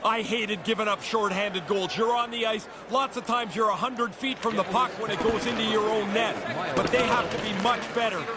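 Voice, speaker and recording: A man's voice: high-pitched, fairly even intonation, normal speed, background noise, lightly acted. A man giving emphatic, colloquial hockey colour commentary, like a former player turned analyst.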